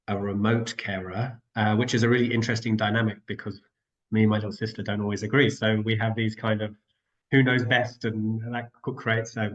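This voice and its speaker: A man's voice: fairly low pitch, a bit bright, dull audio. Nasal man with a cold on a call. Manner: rambling, self-interrupting, apologetic. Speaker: guest carer and co-founder. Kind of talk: casual online panel chat. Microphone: video call.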